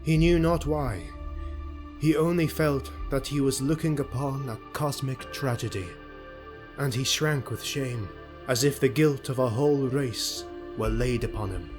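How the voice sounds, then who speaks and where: A man narrating a grim sword-and-sorcery audio drama as storyteller, in a measured, theatrical, solemn delivery. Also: medium pitch, over music, close to an everyday voice. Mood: solemn suspense.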